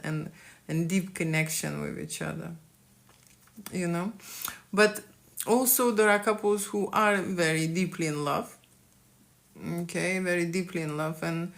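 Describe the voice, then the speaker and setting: A middle-aged woman with a Lithuanian accent speaks slowly on a live stream, her low voice thick with gravelly fry. She tags nearly every thought with a questioning "okay?" as she talks to her viewers. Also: a bit bright. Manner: frequent "okay?" tag questions. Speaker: boudoir photographer. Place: studio in London.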